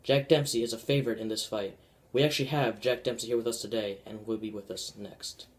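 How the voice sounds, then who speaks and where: A man's voice: fairly low pitch, steady tone, a little fast, close to an everyday voice. A man playing an upbeat 1920s radio sports announcer, reading scripted news.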